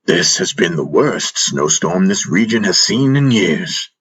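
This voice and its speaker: Man acting wise old master, low husky voice, measured, wry, gently teasing, fantasy audiobook. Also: expressive, full character voice. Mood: musing, wry. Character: wise, calm, wry old male master caring for a patient.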